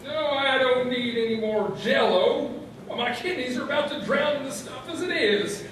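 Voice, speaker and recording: A man's voice: extremely high pitch, moderate pace, a crowd in the background. A man playing a cranky, hard-of-hearing old small-town sage in a comedy radio play, rambling in a muddled, folksy way. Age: elderly. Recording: very echoey hall, distant and noisy.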